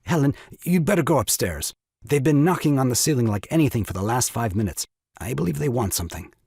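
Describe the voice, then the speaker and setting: An actor playing an excitable, scheming young father and ingratiating nephew in an audio farce, flustered and wheedling. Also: mid-range voice, fast talker, gravelly, breathy edge, no echo, studio quality. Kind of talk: stage farce audio drama acting.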